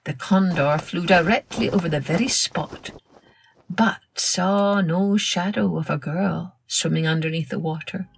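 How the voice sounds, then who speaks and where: A woman narrating a children's story in an expressive, dramatic storytelling manner. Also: fairly low pitch, unhurried, gravelly, a little hiss or hum. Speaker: storyteller, podcast host.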